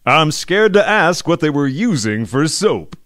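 A man's voice: on the high side, sing-song, a little slow, fairly bright tone, no room sound, studio quality. A man hosting a scripted podcast, asking playful, curious questions. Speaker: host.

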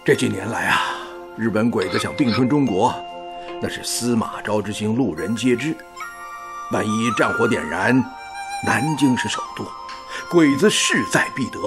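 Older male father figure, avuncular, worldly, radio drama, animated intonation, music underneath. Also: fairly low pitch, moderate pace. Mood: concerned, persuasive. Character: older father, worldly, kindly, reluctant to leave home.

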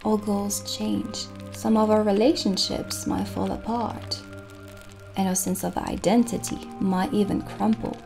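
Soft-spoken ASMR witch shopkeeper: soothing, reassuring, creaky, very unhurried, light music behind. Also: mid-range voice, steady tone. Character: gentle, reassuring witch shopkeeper selling elixirs and enchantments. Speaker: ASMR roleplay performer.

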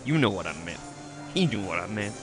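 An actor playing a put-upon, irritable asylum orderly in an audio drama, gruff and exasperated. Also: male voice, lowish voice, sing-song, a little fast, music in the background. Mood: irritated.

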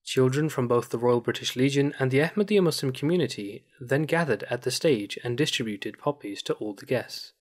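A man narrating a scripted news report as a studio voice-over, in an even, measured way. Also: medium pitch.

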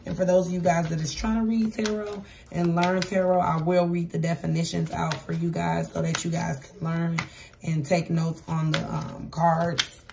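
A hoarse, raspy woman giving a casual tarot reading at a table, chatty and repeating "okay?" to her viewers. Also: on the low side, flat delivery, moderate pace, recorded from a distance, background noise. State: hoarse, voice going for days. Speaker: tarot reader. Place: indoors at a table with cards and crystals. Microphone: camera across the table.

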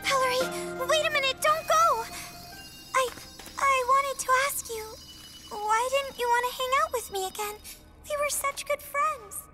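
Very high, sweet little fairy girl. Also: measured pace. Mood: pleading. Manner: gushing, earnest. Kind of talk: children's cartoon dub. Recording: loud music behind the voice.

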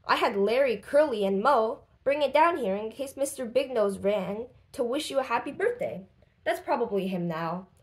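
A woman's voice: fairly high pitch, expressive, normal speed, voice pushed up, close to an everyday voice. A woman voicing a kind, capable, cheerful girl in a children's storybook, explaining and reassuring. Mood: helpful.

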